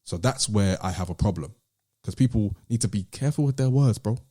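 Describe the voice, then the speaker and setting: A man's voice: fairly low pitch, animated, fairly quick, dark tone. A British man hosting a podcast, debating music casually and emphatically, repeating himself to drive points home. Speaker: podcast host.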